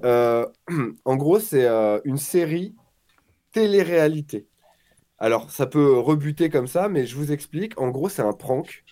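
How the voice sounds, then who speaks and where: A man in his forties talks as a guest on a relaxed podcast. His voice sits a little high, and he tells stories with energy, jumping into little acted-out quotes. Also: measured pace. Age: 40s. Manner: animated storyteller, acts out quotes. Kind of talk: casual chat.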